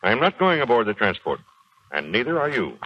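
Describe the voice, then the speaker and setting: Firm, animated Marine colonel. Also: male voice, medium pitch, fairly quick. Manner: firm, clipped, authoritative. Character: firm, sympathetic male Marine colonel, past his youth. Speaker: actor. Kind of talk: 1940s radio drama acting. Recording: dull 1940s radio recording.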